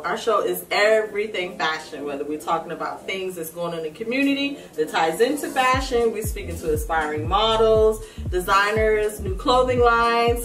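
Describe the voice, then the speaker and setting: A woman hosting a fashion talk show, chatty and friendly, laughing easily as she interviews a guest. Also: mid-range voice. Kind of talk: casual interview. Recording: echoey room, far from mic, music behind.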